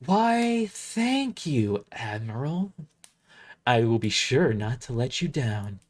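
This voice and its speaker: A man voicing a cold, haunting godlike warship AI in an audio drama, speaking in a formal, deliberate and menacingly courteous way. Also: medium pitch, very animated. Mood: silkily polite.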